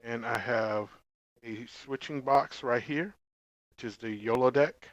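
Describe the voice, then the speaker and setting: A man giving a casual product review, explaining informally in short, halting phrases. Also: medium pitch, dry recording, muffled recording. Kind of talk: casual gear review vlog.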